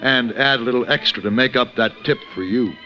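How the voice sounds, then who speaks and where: A man acting a refined, urbane gunfighter in a 1950s radio western, speaking in a dry, measured, articulate way. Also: medium pitch, muffled recording, natural voice. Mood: wry, composed. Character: refined, urbane adult male gunfighter of the Old West. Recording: band-limited vintage radio recording with music.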